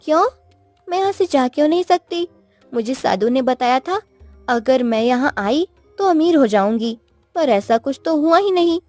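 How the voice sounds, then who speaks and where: An eager young village wife played by a woman storyteller in a cartoon tale. Her voice is pitched high and full of surprised questions and exclamations. Music plays softly behind the dry recording. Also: expressive, moderate pace, no echo. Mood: protesting. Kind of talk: cartoon moral story acting.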